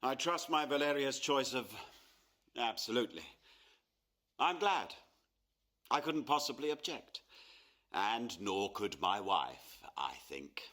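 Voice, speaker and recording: An actor in a radio play voicing a proud, embittered middle-aged painter, acerbic and theatrical. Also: male voice, medium pitch, very animated, takes it slowly, long pauses, a bit bright, exaggerated voice. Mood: stiffly courteous. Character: touchy, proud, embittered painter father of grown daughter.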